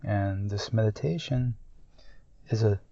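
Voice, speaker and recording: A man guides a meditation in a mellow, relaxed voice. He speaks very slowly and calmly, in short measured phrases with long pauses. The recording is dry and somewhat muffled. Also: fairly low pitch, creaky, no room sound. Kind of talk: guided meditation, slow and soothing.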